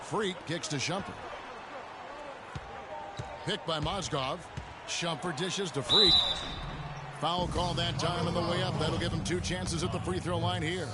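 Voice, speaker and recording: A man's voice: a little high, steady tone, measured pace, long pauses, very noisy, close to an everyday voice. A man playing a TV basketball play-by-play announcer in a video game, with a clipped, fluent broadcast delivery. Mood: focused. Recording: game stream with loud music and court sounds. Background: loud music, bouncing basketball.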